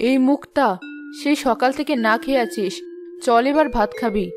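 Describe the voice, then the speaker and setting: A woman voicing a doting, protective middle-aged mother in an animated moral story, with an insistent, motherly manner. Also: sits high, moderate pace, over music, lightly acted. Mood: insistent, indignant.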